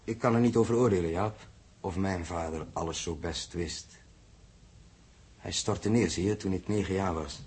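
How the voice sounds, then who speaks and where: A husky, earnest male spaceship commander. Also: deep voice, normal speed, long pauses, a little mellow, heavily acted. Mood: earnest, trusting. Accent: Netherlands Dutch. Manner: composed, authoritative. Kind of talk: 1960s Dutch radio drama acting.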